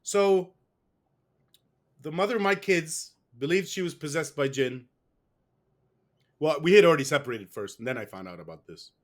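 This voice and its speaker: A man's voice: on the high side, animated, moderate pace, pauses often. A man being interviewed, telling a long personal story in a rambling, casual way. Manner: rambling, with restarts and fillers. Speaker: guest. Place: West.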